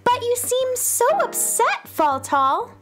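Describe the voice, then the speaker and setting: Very high-pitched, eager little space girl. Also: very animated, slow pace, faint music behind, exaggerated voice. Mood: concerned, helpful. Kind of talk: children's radio play acting.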